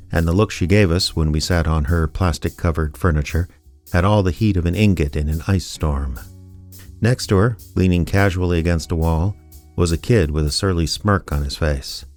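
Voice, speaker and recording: Man playing Snow, a low-voiced sardonic reluctant sleuth. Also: moderate pace, a little mellow, soft background music, close to an everyday voice. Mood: wry, dry. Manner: dry, wry, sarcastic. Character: Snow, sardonic, reluctant small-town unofficial investigator and narrator. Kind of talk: hardboiled detective radio drama narration and dialogue.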